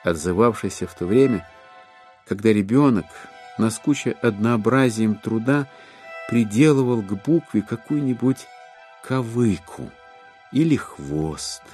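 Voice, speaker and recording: Animated, mellow male narrator. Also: lowish voice, soft background music. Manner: expressive, with deliberate dramatic phrasing. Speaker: narrator of an audio drama. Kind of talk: theatrical literary narration.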